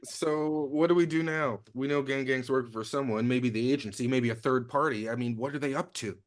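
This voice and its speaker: An earnest secret agent read by a man with a medium-pitched voice. He is plainspoken and a bit halting, the serious, uneasy partner in a comedy action script. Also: natural voice. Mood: concerned. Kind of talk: virtual table read of a comedy screenplay.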